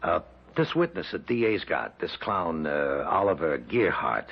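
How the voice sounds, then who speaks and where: Gravelly low gangster flunky, slangy and halting, muffled old radio show. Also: male voice, very animated, full character voice. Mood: conspiratorial, urging. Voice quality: gravelly, husky. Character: low-ranking mob henchman, rough but deferential. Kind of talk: old-time radio drama acting.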